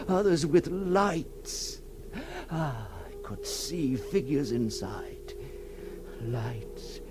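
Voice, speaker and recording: Casting: tormented male recluse in vintage radio horror, very slow, halting, breathless and theatrical, widely swinging pitch, noisy old recording. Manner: halting, breathless, repeats words, swings from whisper to cry. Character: tormented man emerging from long confinement, awed and feverish.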